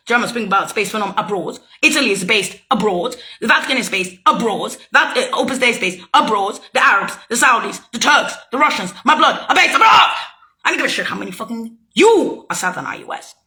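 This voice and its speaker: A woman's voice: a little high, lively intonation, fairly quick, pushed and bright. A woman speaking a rambling, heated monologue, swearing and accusing as she jumps from thought to thought. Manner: loose, jumping from thought to thought.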